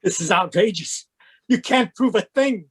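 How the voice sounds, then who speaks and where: A woman's voice: medium pitch, lively intonation, moderate pace, husky. A woman playing an anxious husband protesting his innocence in old-time radio mystery style. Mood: indignant. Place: live video call at home. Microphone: video call.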